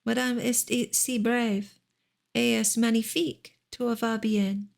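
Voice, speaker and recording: A woman's voice: a little high, steady tone, takes it slowly, gravelly. A woman reading a storybook part as a delighted nurse, effusive and drawn out. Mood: warm reassurance. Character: delighted, gushing French nurse in a novel.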